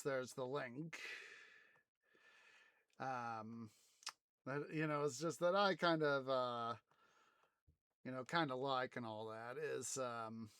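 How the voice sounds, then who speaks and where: A man's voice: medium pitch, smooth, no echo, studio quality. A man hosting a livestream in casual, hesitant, rambling chat. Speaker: live streamer and host.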